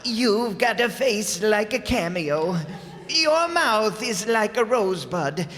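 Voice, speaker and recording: A man playing a cranky, folksy old husband in a radio comedy, reading haltingly, recorded in an echoey hall. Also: high voice, lively intonation, a bit bright, full character voice. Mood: hammy, devoted.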